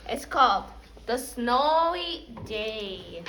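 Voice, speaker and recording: A woman with a high, bright voice speaks slowly, as a preschool teacher does with little children. Her phrasing is simple and repetitive. She is recorded in an echoing classroom. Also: big pitch swings. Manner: prompting with simple repeated questions and phrases. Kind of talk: teaching young children, question-led lesson and picture book read-aloud. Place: indoor classroom. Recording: roomy, distant mic, faint background noise.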